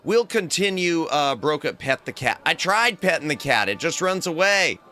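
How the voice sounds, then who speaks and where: A man's voice: fairly high pitch, very animated, moderate pace, sharp tone. A man livestreaming, tired and straining his throat, chatting casually in a playful, rambling way and humming to himself. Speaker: streamer.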